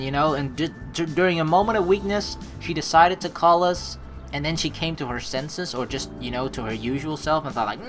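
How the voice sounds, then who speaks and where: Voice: mid-range voice, fairly bright tone. A let's play host from the United States, reading a visual novel aloud and rambling through running commentary over game music. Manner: rambling, thinks aloud, restarts and asides.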